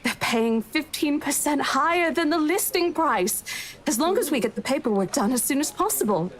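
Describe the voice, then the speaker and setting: A woman voicing a brisk, confident female real estate colleague who explains things in a visual novel read-aloud. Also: sits high, expressive, voice pushed up. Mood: excited.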